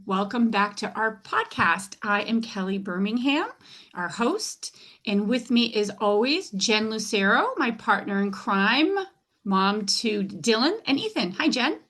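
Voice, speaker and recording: A woman's voice: on the high side, expressive, a little slow, fairly bright tone. A woman hosting a casual podcast interview in an enthusiastic, informal way. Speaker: podcast host.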